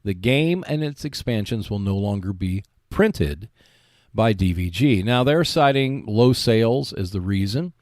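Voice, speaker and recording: Male podcast host, board game monologue, lively intonation, emphatic measured phrasing, dry room sound. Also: on the low side, no room sound.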